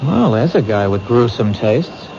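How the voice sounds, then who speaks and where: An actor playing an earnest, protective police detective in a 1970s radio drama, friendly and a little stammering. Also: male voice, mid-range voice, big pitch swings, unhurried, creaky. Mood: warm, earnest. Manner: friendly, stammers when nervous. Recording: dull old radio broadcast with hiss.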